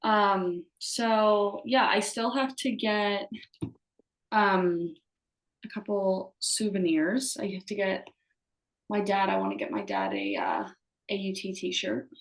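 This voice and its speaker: An American woman in her early twenties co-hosts a casual podcast over a remote call. Her voice sits at an average pitch, and the room around her rings with noticeable echo. She talks informally, as friends do. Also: animated, slow. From United States. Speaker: podcast co-host. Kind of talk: casual chat between friends. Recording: roomy, echoey video call audio.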